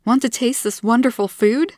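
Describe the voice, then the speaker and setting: A woman voicing a warm, eager, chronically ill woman who befriends an alien in a story, expressive and open, over background noise. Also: a little high, normal speed, no echo, clean studio sound, voice pushed up, lightly acted. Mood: excited.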